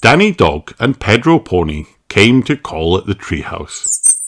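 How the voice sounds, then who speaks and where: A man reading picture books aloud for children in a slow, playful, exaggerated storytelling way. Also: fairly low pitch, very animated. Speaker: storybook reader.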